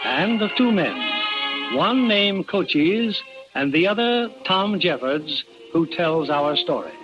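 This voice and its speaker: High, animated vintage radio announcer man. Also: slow pace. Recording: muffled old radio, loud orchestral music.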